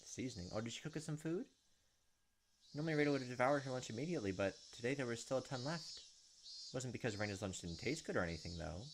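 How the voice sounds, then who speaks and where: A man's voice: medium pitch, animated, fast talker, noisy background. A young man hosting a gaming video, reading visual novel narration aloud with casual asides. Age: young adult.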